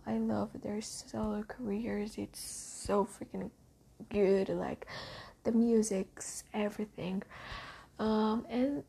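A teenage girl with a Brazilian Portuguese accent talks her way through a spoken diary, slow and hesitant, full of little pauses. Her voice is husky and gravelly, with a dark, mellow tone and a slightly high pitch. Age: teens. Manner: hesitant, many ums, often whispery and soft. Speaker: diarist.

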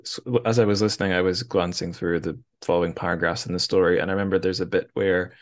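Man, deep voice, podcast host, casual book talk, searching for words. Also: steady tone, fast talker.

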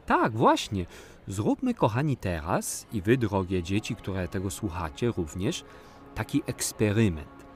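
A man's voice: on the low side, big pitch swings, a little slow, over music. An actor playing a kindly, patient man who explains and encourages as a guide in a children's audio play. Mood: encouraging, instructive.

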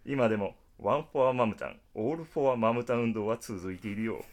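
A man's voice: mid-range voice, moderate pace, dull audio, close to an everyday voice. A man playing an eccentric, bossy data scientist with a lecturing, analytical manner in a radio drama. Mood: fervent. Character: eccentric, bossy male data scientist and obsessive anime fan. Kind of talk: Japanese radio drama acting.

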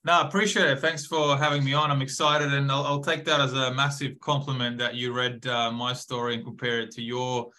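A man talking as a podcast guest, friendly and relaxed with plenty of fillers. His voice is bright and forward, and it sits at an ordinary middle pitch. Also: a little fast, hardly any pauses. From Croatia/Serbia, raised in Australia. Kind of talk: casual interview.

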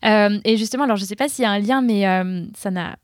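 A woman's voice: mid-range voice, fast talker, no room sound, clean studio sound. A woman hosting a podcast interview in an enthusiastic, conversational way. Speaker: podcast host.

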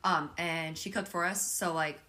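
Low-voiced bright young woman. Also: steady tone, normal speed. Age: young adult. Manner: earnest, filler-heavy storytelling. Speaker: podcast guest. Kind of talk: casual chat.

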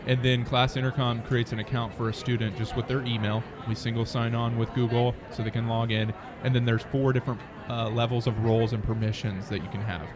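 An American man gives a conversational interview as a startup founder. His mid-pitched voice moves in a narrow, even range. A busy crowd chatters behind him. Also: a little fast, few pauses. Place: busy conference venue near an expo hall.

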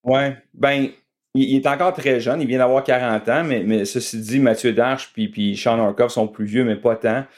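A man's voice: medium pitch, fairly even intonation, normal speed. A middle-aged man with a Quebec French accent giving casual sports analysis as a guest journalist.

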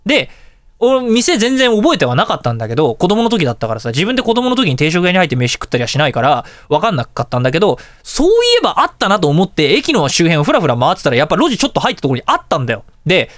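High pitched guy doing a casual radio show monologue, really animated storyteller, dry close sound. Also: racing, no echo. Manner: animated storyteller with exclamations and sound-effect words.

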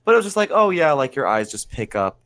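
A man co-hosting a podcast, chatting casually and animated, often restarting his sentences. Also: on the high side, quick pace. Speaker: podcast co-host. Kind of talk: casual chat.

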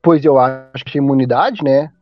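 A man from Brazil, a teacher hosting a podcast in casual chat, talkative and quick to laugh. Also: mid-range voice, dry recording, muffled recording, soft background music. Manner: chatty, laughing often, drawn-out asides.

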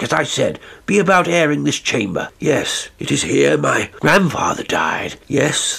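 A man reading the part of an impatient country squire who gives brisk, curt orders. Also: mid-range voice, gravelly, a bit bright, slightly rough, voice pushed up, exaggerated voice.

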